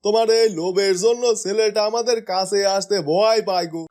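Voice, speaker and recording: A man's voice: sits high, steady tone, moderate pace, a little mellow, natural voice. A man voicing a stern, principled middle-aged village headman in a fairytale cartoon. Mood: stern, reproachful.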